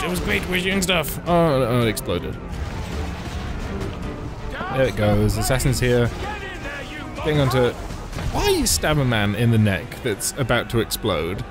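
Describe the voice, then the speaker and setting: A man chats through a video game with a wry, mocking edge, poking fun at its plot and quests. Loud game music plays under his voice the whole time. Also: mid-range voice. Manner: wry, mocking, chatty asides. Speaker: let's play host. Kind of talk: sarcastic gameplay commentary.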